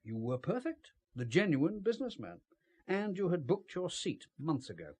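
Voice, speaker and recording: An actor playing a cagey, ruthless British spymaster in an audio drama, with formal, understated speech and a husky voice. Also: male voice, on the high side, big pitch swings, normal speed, a little mellow. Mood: smooth, calculating.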